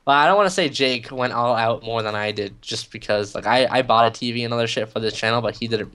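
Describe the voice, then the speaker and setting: A man's voice: medium pitch, quick pace, fairly bright tone, smooth. A young man co-hosting a gaming video, telling a story in loose, filler-heavy casual chat. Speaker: gaming channel co-host. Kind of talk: casual chat over gameplay.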